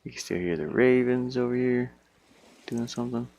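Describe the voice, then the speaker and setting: A man's voice: medium pitch, normal speed, vocal fry, a little mellow. A man working as an indie game developer, thinking aloud casually and muttering to himself in short fragments.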